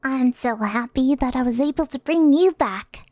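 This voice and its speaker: A woman playing an excitable, devoted female tengu spirit in an ASMR roleplay. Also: high-pitched, moderate pace, no room sound, clean studio sound, natural voice. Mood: happy, excited.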